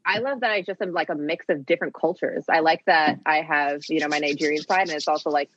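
A woman's voice: sits low, steady tone, fast talker, a bit bright, dry recording, muffled recording. A young woman from Maine in the United States chatting casually as a podcast guest. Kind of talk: casual chat.

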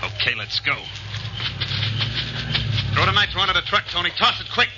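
An actor playing a tough, commanding gang boss with slangy orders in a 1940s radio drama. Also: male voice, high-pitched, sing-song, normal speed. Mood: urgent, excited. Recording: very noisy, dull old radio recording.

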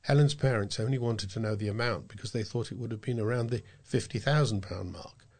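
A man reads local news from a script. His pitch is on the low side, and he presents the items as a volunteer newsreader. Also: brisk. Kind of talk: scripted local news reading for a talking newspaper.

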